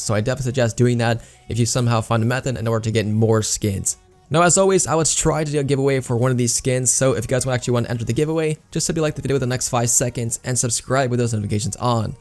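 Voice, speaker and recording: A man's voice: mid-range voice, quick pace, darkish tone. A man hosting a gaming news video, explaining updates in YouTube commentary style with repeated emphasis words. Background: background music.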